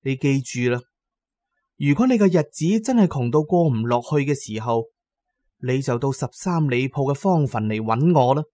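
A man's voice: mid-range voice, expressive, normal speed, mellow, dry recording, clean studio sound, natural voice. A man voicing an aggrieved, proud and coaxing female ghost inhabiting a paper doll in a horror audio drama. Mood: luring. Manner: reproachful, persuasive.

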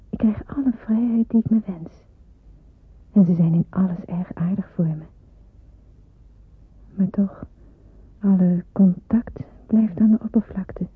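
An actress playing a gentle young woman who helps with small children in wartime, soft-spoken and reflective, in a radio play. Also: medium pitch, breathy edge, no room sound. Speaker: narrator and lead character of a radio drama. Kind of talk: first-person radio drama narration and dialogue. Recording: muffled, phone-like old radio recording.

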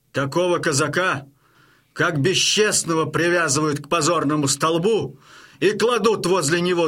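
An actor playing a stern but hearty Cossack chieftain in an audio drama, with a rough voice and a weighty, commanding manner. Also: male voice, a little high, sharp tone.

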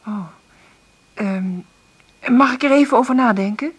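Husky, hesitant anxious mother. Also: sits high, measured pace. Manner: hesitant, faltering. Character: anxious, hard-up single mother. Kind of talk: naturalistic Dutch radio play acting.

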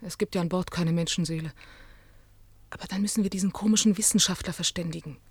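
Husky, inquisitive adventuress. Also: female voice, fairly low pitch, steady tone, fast talker, no room sound. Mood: uneasy, urgent. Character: curious, outspoken female adventurer with biology training. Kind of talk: German science fiction radio drama acting.

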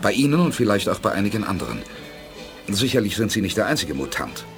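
An actor playing a calm, decisive space hero and leader in a 1980s German radio play, speaking with measured authority. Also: male voice, fairly low pitch. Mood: measured, probing. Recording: music behind studio radio drama.

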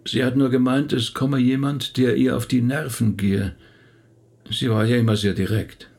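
An actor in a radio drama playing a reserved, courteous middle-aged Swiss rabbi. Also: male voice, medium pitch, steady tone, moderate pace, a little mellow, lightly acted. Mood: guarded, reflective.

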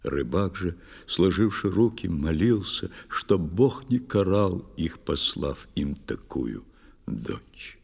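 Male narrator for children's audio drama: low register, expressive verse storytelling, heavy hall reverb. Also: takes it slowly, creaky, husky.

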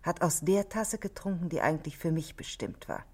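An actress playing a cool, controlled married woman under questioning in a 1960s German radio play, speaking in a measured, precise, matter-of-fact way. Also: sits low, a little mellow, breathy edge. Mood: guarded.

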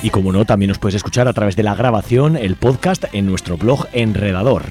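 A man's voice: fairly low pitch, a little fast. A man with an accent from Spain, informally co-hosting a community radio show from a studio. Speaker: radio co-host. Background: music.

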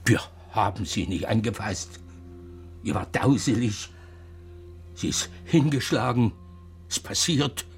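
Evasive older man, radio drama, low voice, very slow, muttered, breathy, guilt-laden. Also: expressive, faint music behind. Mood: defensive, shaken. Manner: halting, clipped, muttering colloquially. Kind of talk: German radio drama acting.

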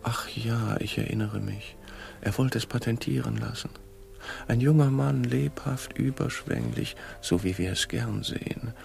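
An actor playing a weary, officious and bribable patent office director in a satirical radio play, with a pompous bureaucratic manner. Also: male voice, mid-range voice, measured pace, gravelly, darkish tone, slight background noise. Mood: officious, obliging.

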